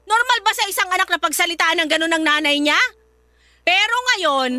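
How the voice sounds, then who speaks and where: A harsh, high-voiced Filipino mother. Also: sharp tone, heavily acted. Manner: harsh, accusing, confrontational. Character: bitter, scornful, money-minded Filipino mother, middle-aged. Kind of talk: Filipino radio drama acting. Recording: music behind the voice.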